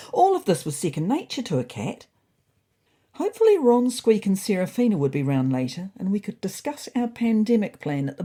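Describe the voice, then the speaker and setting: A woman's voice: lowish voice, mellow, breathy edge. A woman voicing a self-important, naively confident male orange cat reading out his diary in a scripted story.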